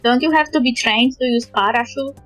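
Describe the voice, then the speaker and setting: A woman's voice: fairly high pitch, brisk, soft background music. A woman with a Polish accent chatting casually on a live game stream, joking and opinionated. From Poland.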